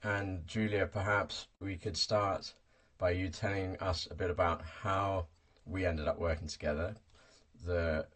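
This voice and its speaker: Deep husky man hosting a podcast. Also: fairly even intonation, a little slow, fairly bright tone. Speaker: podcast host. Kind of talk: scripted podcast introduction and interview question.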